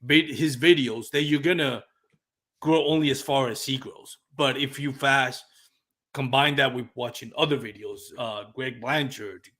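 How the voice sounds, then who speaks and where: A man with a Puerto Rican accent hosts a relaxed live podcast in a mid-range voice. English is his second language after Spanish, and he thinks aloud, pausing now and then to find a word. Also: mid-range voice. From Puerto Rico. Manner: reflective, searching for words. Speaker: podcast host. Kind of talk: casual live podcast interview.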